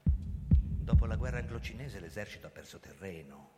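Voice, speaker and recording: An actor playing an embattled, commanding police commissioner in a radio drama, theatrical and sardonic, worldly-wise in manner. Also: male voice, on the low side, expressive, normal speed, lightly acted. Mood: grave, forceful. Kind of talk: radio drama acting and first-person narration. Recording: reverberant stage sound, loud music behind. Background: music.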